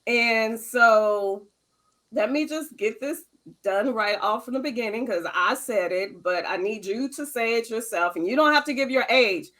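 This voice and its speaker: A woman in her fifties with a somewhat high voice hosts a conversational interview. She is affirming and emphatic, often repeating a phrase to underline it. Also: unhurried, a bit bright. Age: 50s. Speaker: interview host. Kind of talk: conversational online interview hosting.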